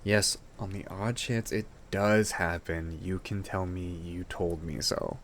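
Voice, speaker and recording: A man's voice: deep voice, fairly even intonation, measured pace, fairly bright tone. Affectionate boyfriend, acted by a man, over background noise. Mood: teasing, cheerful. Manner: teasing, stammering, chuckling, tender. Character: affectionate, playful, protective boyfriend comforting his partner. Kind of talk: intimate boyfriend roleplay audio drama.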